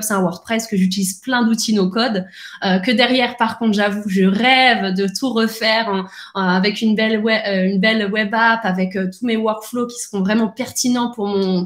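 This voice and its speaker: A woman talking as a podcast guest, speaking in a lively, casual way with many restarts and fillers. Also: mid-range voice, roomy sound. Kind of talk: casual conversational interview.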